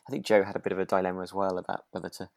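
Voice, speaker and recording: A podcast co-host talks in a low voice with a very dry, close sound. The delivery is casual and hesitant, working through a story recap out loud. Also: little pitch movement, racing, gravelly, dry recording. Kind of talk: casual chat recapping a radio drama.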